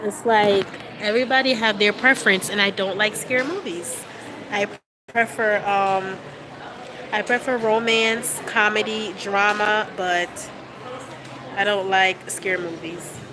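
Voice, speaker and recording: A woman's voice: medium pitch, a little slow, noisy background. A young woman with a stuffy nose from a cold, chatting casually to her fans on a live stream, with lots of fillers, holding the phone at arm's length. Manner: chatty, relaxed, with frequent fillers and laughs. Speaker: vlogger livestreaming to fans. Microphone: phone held at arm's length.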